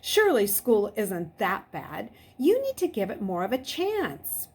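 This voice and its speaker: Woman voicing a calm avuncular older man, lively pitch. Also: unhurried, breathy edge, full character voice. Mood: calm soothing. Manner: soothing, untroubled, avuncular.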